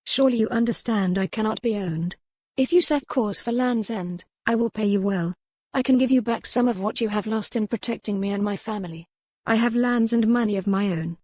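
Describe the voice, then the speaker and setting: A woman's voice: mid-range voice, brisk, darkish tone, no echo, studio quality, close to an everyday voice. Woman playing a proud, impassioned captive noblewoman. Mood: resolute. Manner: impassioned, formal archaic phrasing. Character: proud, fiercely resolute young noblewoman held captive. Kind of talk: dramatized romance audiobook dialogue.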